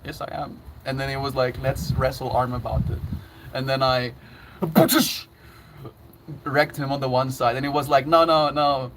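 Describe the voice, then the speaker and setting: A man's voice: medium pitch, level tone, moderate pace, noisy background. Chatty man on a livestream. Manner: chatty, laughs often, reads chat aloud. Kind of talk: casual livestream chat.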